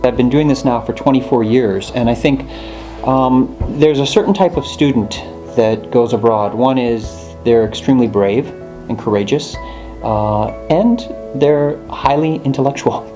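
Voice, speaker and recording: A man's voice: medium pitch, normal speed. A middle-aged man, a university administrator, giving an earnest, hesitant interview. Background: plucked guitar music.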